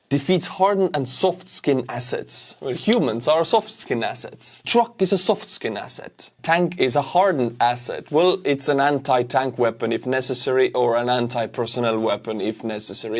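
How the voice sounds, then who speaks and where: A man's voice: medium pitch, darkish tone. A man from Estonia with an Estonian accent, hosting casual news commentary in an informal, conversational way with wry asides. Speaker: YouTube war-news host.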